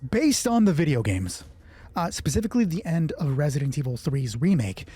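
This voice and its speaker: Husky, mellow man narrating a solo roleplay. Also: on the high side, sing-song, normal speed. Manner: foul-mouthed, talks to himself through rulings. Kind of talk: casual narration of a solo tabletop game, thinking aloud.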